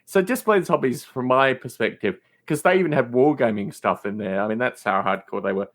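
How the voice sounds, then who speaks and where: A man chatting casually as a podcast guest, rambling in an animated way. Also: medium pitch, brisk. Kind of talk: casual chat.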